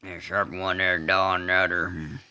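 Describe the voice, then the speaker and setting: A man performing a film-style monologue as a slow, simple-minded rural killer, unrepentant, in a halting deadpan Southern US drawl with grunted hums. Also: low-pitched, clean tone, no echo. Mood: flat, matter-of-fact. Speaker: amateur voice artist. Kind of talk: dramatic monologue re-enactment of a film scene.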